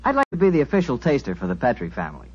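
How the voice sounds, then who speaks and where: A man's voice: medium pitch, huge pitch swings, very fast, slightly rough. A male radio announcer doing chummy, folksy 1940s-style ad patter. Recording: dull old radio broadcast.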